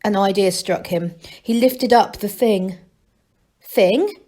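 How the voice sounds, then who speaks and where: A woman's voice: medium pitch, measured pace, warm tone. Woman reading a children's story expressively. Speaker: storyteller reading aloud for a school. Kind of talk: expressive children's audiobook narration.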